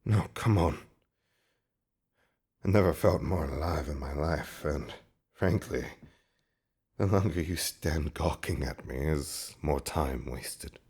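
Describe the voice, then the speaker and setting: A man playing a devoted zombie husband in an intimate roleplay audio drama, speaking in a breathy, halting, wryly romantic and eloquent way. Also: very deep voice, darkish tone, exaggerated voice. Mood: playful, eager.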